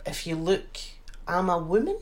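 A woman in her forties speaks with a Glasgow accent, chatting as a guest. She tells lively stories and slips into other people's voices as she goes. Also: fairly low pitch, moderate pace. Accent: Glaswegian. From Scotland. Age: 40s. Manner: animated storyteller who acts out other voices. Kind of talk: casual interview chat.

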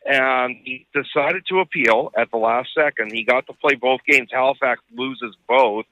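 A man's voice: medium pitch, normal speed, dry recording. A man co-hosting a sports podcast with casual game commentary, on dull lo-fi audio.

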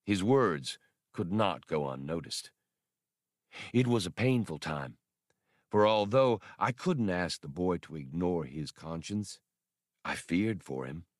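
A man voicing a courteous, grave older scholar in an audiobook, with formal, deliberate wording. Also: low voice, expressive, no room sound, studio quality, heavily acted. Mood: grave, regretful. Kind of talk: audiobook character dialogue.

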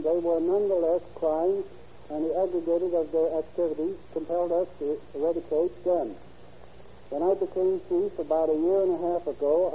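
A male police official reads a formal address stiffly on 1930s radio. His voice is fairly high yet very dark. The broadcast is muffled, with a faint hum. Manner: stiff, formal, reading aloud. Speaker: police chief giving an address. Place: radio studio.

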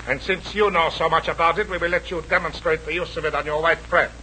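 An actor playing a cruel, pompous Japanese army officer in a 1940s radio adventure serial, menacing and mockingly formal. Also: male voice, fairly high pitch, fairly even intonation, quick pace, bright, forceful tone. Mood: coolly menacing. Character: cruel, sneering Japanese army major, villain. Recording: noisy old radio broadcast.

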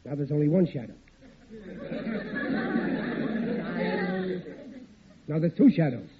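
A man's voice: on the high side, animated, very fast, lightly acted. An actor playing a blustering, suspicious middle-aged working-class father with an American accent in vintage radio sitcom style. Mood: alarmed, watchful. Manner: blustering, indignant, ungrammatical speech. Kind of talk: 1940s radio situation comedy acting. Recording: noisy, tinny vintage radio recording.

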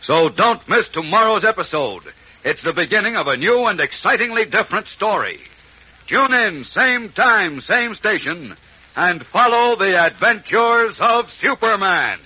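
A man's voice: high-pitched, expressive, measured pace, slightly rough. A man narrating a 1940s radio serial as its announcer, in a dramatic scripted style. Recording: dull vintage radio recording.

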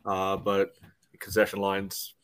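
Low-pitched man in meandering podcast chat. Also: completely flat delivery, slow, fairly bright tone, smooth. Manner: loose, meandering, peppered with "you know". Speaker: podcast co-host. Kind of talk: casual sports podcast chat.

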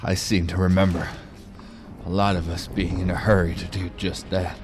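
An actor playing an injured federal agent trapped in a sci-fi audio drama, gruff and weary, halting and muttering his words. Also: deep voice, flat delivery, background noise, lightly acted. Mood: dry, dazed. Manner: halting, drawn-out speech with muttered swearing. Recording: music under the voice.

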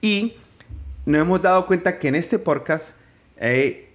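A man with a Colombian Spanish accent hosts a relaxed faith podcast. He jokes and rambles and often restarts his sentences. His voice sits a little high for a man. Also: a little slow. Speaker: podcast host. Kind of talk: casual faith podcast interview.

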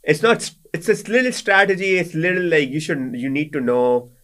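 A man's voice: a little high, a little fast, a bit bright. A young man in his twenties from Pakistan, a native Sindhi speaker, chatting casually as a guest with lots of fillers and restarts. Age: 20s. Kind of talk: casual conversation.

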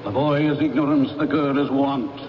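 Male actor as solemn spirit, medium pitch, portentous delivery, 1970s radio drama, muffled sound. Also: steady tone, close to an everyday voice. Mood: grave warning. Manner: slow, portentous, declamatory. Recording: dull, noisy 1970s radio recording.

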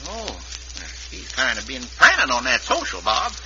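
A man's voice: medium pitch, huge pitch swings, moderate pace, sharp tone, lo-fi sound, full character voice. An actor playing an easygoing Western townsman in an old radio drama, talking in a hesitant, folksy way. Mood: amiable, hesitant. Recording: hissy old radio recording.

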